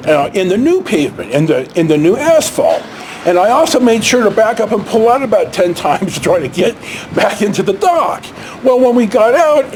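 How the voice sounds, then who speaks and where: A man casually telling a personal anecdote as a vlog host, in a halting, rambling, blunt way with long hesitations. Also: extremely high pitch, darkish tone, slight background noise, low-quality recording.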